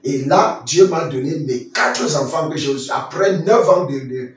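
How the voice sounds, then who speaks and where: A man from Nigeria delivers a Sunday school lesson like a sermon, with a fairly high voice. He picks his words with many repeats and restarts, slowing down for scripture. He sounds heavily echoey and set back from the mic. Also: far from the mic, faint music behind. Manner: repeats phrases and restarts, quotes scripture slowly. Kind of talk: sermon-style Bible lesson with personal testimony.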